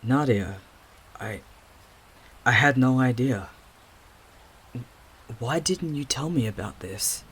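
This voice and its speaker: A man's voice: medium pitch, animated, slow pace, pauses often, a bit bright, breathy edge, exaggerated voice. A man acting a hesitant young man with fractured memories in an audio drama, stammering and thinking aloud. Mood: sorry, gentle. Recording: music under the voice.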